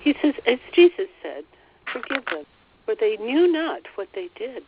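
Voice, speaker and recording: A woman leading a guided meditation, relaying a guide's words in a gentle, soothing way and often repeating phrases. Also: a little high, big pitch swings, moderate pace, highs cut off, noisy background. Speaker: spiritual teacher leading a meditation.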